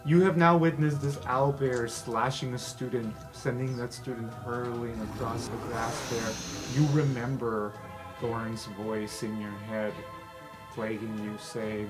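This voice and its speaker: A man's voice: medium pitch, fairly even intonation, a little slow. Man narrating a tabletop game over music. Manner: casual, sweary, vivid description. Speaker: game master. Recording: music behind the voice. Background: background music.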